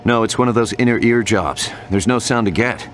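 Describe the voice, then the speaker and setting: Low-voiced dutiful technician underling played by an actor. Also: male voice, brisk, a bit bright, close to an everyday voice. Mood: businesslike. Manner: matter-of-fact, deferential. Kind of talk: video game cutscene acting. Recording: rumbling noise behind the voice.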